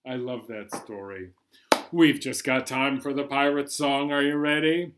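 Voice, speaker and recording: A man hosting a children's storytime, reading a picture book aloud in a measured, singsong way. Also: mid-range voice, fairly even intonation, noisy background. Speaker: children's storytime host at a library.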